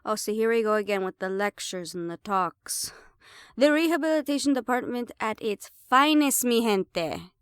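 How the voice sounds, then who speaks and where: A woman's voice: fairly high pitch, expressive, normal speed, clear, resonant tone, dry recording, clean studio sound, close to an everyday voice. A woman playing a sly, defiant half-banshee siren in an audio roleplay, sarcastic and playful as she mocks the listener. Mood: callous, scornful.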